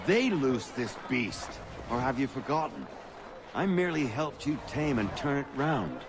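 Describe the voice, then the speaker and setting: A worldly game guide. He explains things in a practical, wry manner at an average pitch, with noticeable outdoor street noise behind him. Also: big pitch swings, unhurried. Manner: instructive, wry. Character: worldly, pragmatic male rebel guide, adult. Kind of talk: video game cutscene acting.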